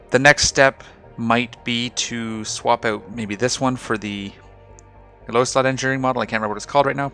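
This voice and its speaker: A man hosting a casual game video, talking through his ship setup with a slightly rough, hoarse voice. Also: on the low side. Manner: relaxed, rambling, explains ship fittings. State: slightly rough voice after a night of singing. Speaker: gaming channel host. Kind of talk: casual gameplay commentary.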